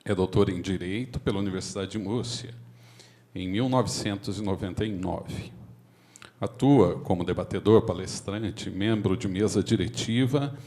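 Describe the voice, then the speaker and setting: A Brazilian man with a fairly low voice hosts the session. He introduces the guest speaker in a friendly yet formal tone, reading out the guest's credentials. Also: normal speed, mellow. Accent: Brazilian Portuguese. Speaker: event host introducing a speaker.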